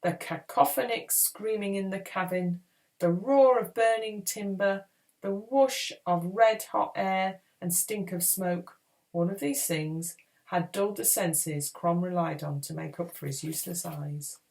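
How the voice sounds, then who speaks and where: A woman reading a children's adventure book aloud in a dramatic, expressive storytelling style. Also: fairly low pitch, a little slow, vocal fry. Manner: expressive, acts out dialogue. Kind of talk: dramatic read-aloud narration.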